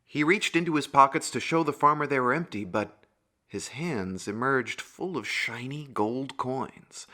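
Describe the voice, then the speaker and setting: A man's voice: medium pitch, lively intonation, measured pace, sharp tone. A man narrating a scripted fairy tale for a puppet show in a theatrical storyteller manner.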